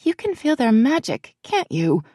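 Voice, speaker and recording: A high, fretful young woman with a mellow, dark tone, as a woman narrator puts her on. She speaks in quick, worried bursts, her voice lifted well above the narrator's own. The recording is dry and close. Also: wildly swooping pitch, breathy edge, no echo, full character voice. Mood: anxious. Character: frightened young woman, anxious friend.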